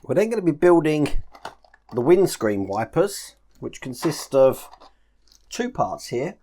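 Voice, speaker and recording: A man's voice: fairly high pitch, sing-song, takes it slowly, warm tone. A man chatting casually through a step-by-step build tutorial, explaining each move as he works. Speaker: host of a model-building channel.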